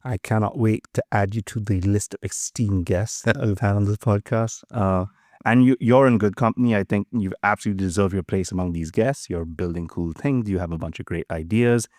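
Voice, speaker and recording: A man's voice: lowish voice, brisk, darkish tone, no echo, studio quality. A man hosting a podcast, enthusiastic and friendly as he introduces and questions his guest. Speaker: podcast host. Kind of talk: casual interview chat.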